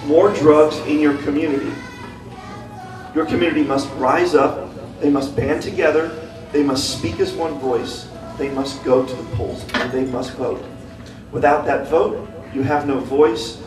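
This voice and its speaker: A man giving an impassioned public speech as a community activist, driving home repeated calls to action. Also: on the high side. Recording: echoey room, distant mic, music behind.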